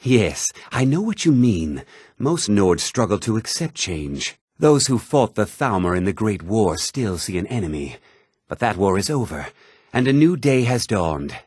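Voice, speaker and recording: A haughty nobleman from a fantasy game, speaking in a fairly low, husky voice. His delivery is pompous and theatrical, with lively swings in pitch and a condescending air. The recording is close and dry. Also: a little slow, no echo, heavily acted. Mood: disdainful. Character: haughty, self-important male noble in a fantasy realm. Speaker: video game voice actor. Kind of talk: scripted fantasy game dialogue lines.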